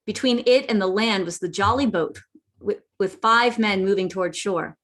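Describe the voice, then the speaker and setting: A woman's voice: medium pitch, normal speed, fairly bright tone, lightly acted. A woman playing an earnest, plucky young boy who narrates a sea adventure in a radio play. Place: indoors, remote online reading.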